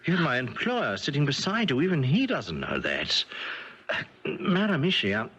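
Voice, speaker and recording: An actor playing an earnest gentleman's secretary in a 1970s radio mystery, proper and hesitant, easily amazed. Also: mid-range voice, wildly swooping pitch, moderate pace, slightly rough, full character voice. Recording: dull vintage radio recording.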